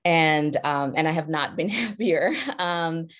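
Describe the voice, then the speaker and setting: A man's voice: a little high, a little slow, a bit bright, clear, resonant tone. A young man from Bangladesh who grew up in New York, talking enthusiastically and casually on a panel, with lots of "like" and "right". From Bangladesh, raised in New York City. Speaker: panelist, filmmaker. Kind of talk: panel discussion.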